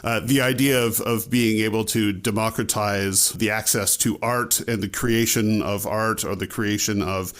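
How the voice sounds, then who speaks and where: A man's voice: lowish voice, fairly even intonation, normal speed, few pauses, fairly bright tone. A man co-hosting a podcast from his home music studio, chatting with personal asides. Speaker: podcast co-host.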